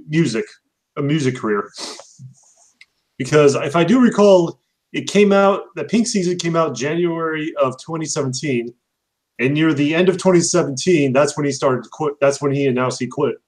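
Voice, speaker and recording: A young man hosting a casual chat, rambling with many fillers and laughing often. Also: mid-range voice. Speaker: host.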